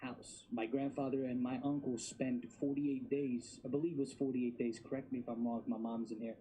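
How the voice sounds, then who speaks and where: A man's voice: medium pitch, little pitch movement, fairly quick, dark tone, a little hiss or hum, rough recording. A man in his thirties from Bosnia and Herzegovina, a guest talking casually and emphatically, repeating his words. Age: 30s. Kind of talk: casual interview talk.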